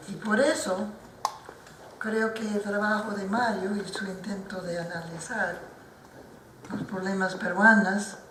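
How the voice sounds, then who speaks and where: A non-native older woman giving formal comments at a book presentation, speaking slowly and carefully and often restarting her words. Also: on the low side, noisy background. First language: not Spanish. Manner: slow and careful, with frequent restarts. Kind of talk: formal talk at a book launch. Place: lecture hall at an institute. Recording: roomy hall picked up from a distance.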